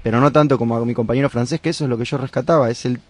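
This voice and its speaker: A man's voice: mid-range voice, fairly even intonation, rapid-fire. An Argentine man, a touring folk musician, chatting playfully in a radio interview. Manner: playful, joking, expansive. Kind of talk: casual radio interview chat.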